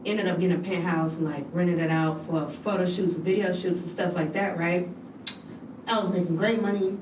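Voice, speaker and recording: A woman's voice: on the low side, fairly even intonation, moderate pace, darkish tone, slight background noise. A woman talking in casual chat as a podcast guest, blunt and profane, telling her own story. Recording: echoey room, mic at a distance.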